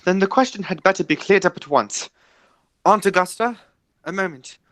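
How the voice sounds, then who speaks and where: A woman playing an earnest, excitable young gentleman in an amateur radio comedy, formal and theatrical. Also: lowish voice, normal speed, no room sound, close to an everyday voice. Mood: anxious, insistent.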